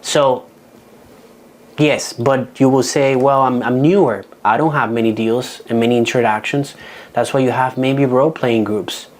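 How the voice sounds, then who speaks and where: A man's voice: medium pitch, fairly even intonation, measured pace, darkish tone. A man with a Dominican Spanish accent, Spanish his first language, giving a seminar on real estate deals in a frank, practical way with frequent restarts. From Dominican Republic. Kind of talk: seminar talk.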